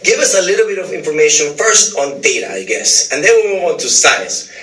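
A man's voice: on the high side, big pitch swings, fairly quick, sharp tone, recorded from a distance. A man hosting a podcast, chatting casually, enthusiastically affirming and asking his guest questions. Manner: enthusiastic, asks questions and affirms with "yes" and "yeah". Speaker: podcast host. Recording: roomy sound, a little off mic.

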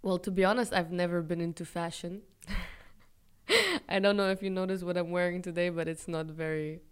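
Young woman from israel, smooth clear voice, pretty flat delivery, thinks out loud in an interview. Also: on the low side. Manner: thoughtful, candid, with frequent fillers and self-deprecating laughs. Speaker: interviewee, fashion brand founder. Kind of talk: casual interview answers.

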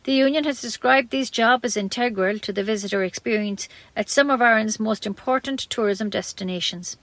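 A woman's voice: a little high, a little fast, a bit bright, no echo. A woman with an Irish accent reading scripted local news as a community radio newsreader.

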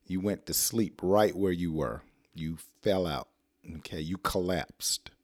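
A middle-aged American man with a hoarse, rough throat, teaching an English lesson in a casual, playful way and acting out example sentences. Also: deep voice, slow, a little mellow, dry recording, studio quality.

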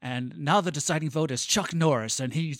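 A man's voice: fairly high pitch, brisk, fairly bright tone, dry recording, studio quality. An American man co-hosting a podcast in casual chat, easygoing and rambling, often repeating his phrases. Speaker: podcast co-host.

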